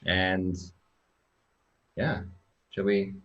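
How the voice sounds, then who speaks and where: Aussie guy, really deep voice, casual guest talk over muffled lo-fi call audio. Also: fairly even intonation, very slow. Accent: Australian. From Australia. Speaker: guest software developer. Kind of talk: informal presentation on a video call. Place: remote online live stream. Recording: dull lo-fi video call audio.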